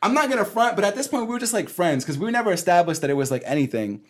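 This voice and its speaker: A young man in his late twenties spins a story in loose, slangy chat, laughing as he goes. His voice is a bit higher than most men's and swings up and down a lot. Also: racing. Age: 20s. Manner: animated, slangy, laughs through his stories. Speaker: podcast co-host.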